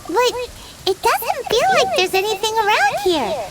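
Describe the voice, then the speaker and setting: A woman voicing a tiny, chirpy fairy girl companion in a video game, with a high, squeaky childlike voice. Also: sing-song, unhurried, heavy background noise, heavily acted. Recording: game music loud behind.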